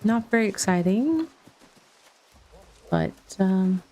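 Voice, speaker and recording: A woman's voice: medium pitch, animated, takes it slowly, a little mellow. A middle-aged woman chatting casually as she streams a video game, rambling a little and thinking aloud. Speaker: livestreamer playing a video game. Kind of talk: casual chat over gameplay.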